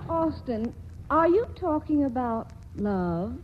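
A woman's voice: high voice, big pitch swings, takes it slowly, some chatter behind, full character voice. An actress playing a restless, teasing young woman with a cool, lightly dismissive manner in 1940s radio drama style. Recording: muffled old radio broadcast with faint hiss.

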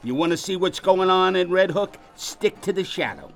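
Casting: wry old-hand street cop, highish lively voice, slangy teasing instruction, radio drama. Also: male voice, moderate pace, vocal fry, faint music behind. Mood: cautioning. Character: streetwise, wry veteran beat cop showing rookie around.

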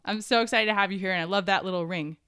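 Upbeat woman podcast host, smooth voice. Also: mid-range voice, rapid-fire, sharp tone, dry recording, clean studio sound. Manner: upbeat, enthusiastic. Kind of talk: podcast intro and interview.

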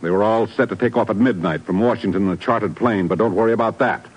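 Gruff male intelligence chief, deep husky voice, exasperated, urgent, old radio drama. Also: fast talker, lo-fi sound. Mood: alarmed, exasperated. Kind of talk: 1950s radio drama acting.

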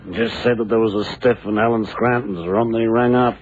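A man playing a police officer in an old radio crime drama. His voice is fairly low and he reports in clipped, slangy phrases, very matter-of-fact. The sound is thin and phone-like, with faint noise. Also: steady tone, a little fast, lightly acted. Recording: tinny, noisy 1960s radio drama recording.

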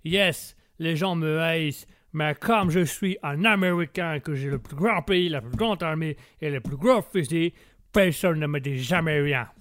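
A man's voice: a little high, a bit bright, no echo, clean studio sound. A man voicing a brash, arrogant American man who talks with a put-on American accent and drops English words into his speech. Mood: boastful. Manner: swaggering, slow drawl, drops English words.

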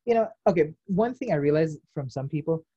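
A young man, talking loosely and at length. His voice sits dark and mellow, with a husky edge to it. He rambles through fillers and drops casual swears. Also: on the high side, lively intonation, a little fast, no room sound, dull audio. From United States. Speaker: podcast host. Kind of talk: casual chat.

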